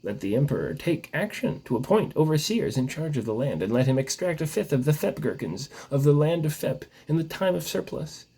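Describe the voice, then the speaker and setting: A man with a husky voice reading a comic tale aloud in a mock-solemn, theatrical storytelling manner. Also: on the high side, big pitch swings, a little fast, hardly any pauses, dark tone. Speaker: story reader.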